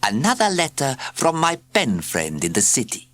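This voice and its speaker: A man's voice: mid-range voice, wildly swooping pitch, normal speed, a bit bright, no room sound, voice pushed up. A man voicing a smug, purring female cat who is a school prefect in a read-aloud story. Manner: purring, mysterious.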